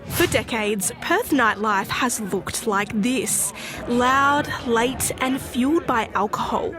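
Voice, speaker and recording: A woman's voice: on the high side, slow pace, over music. A woman reading a student news report in an upbeat, scripted way.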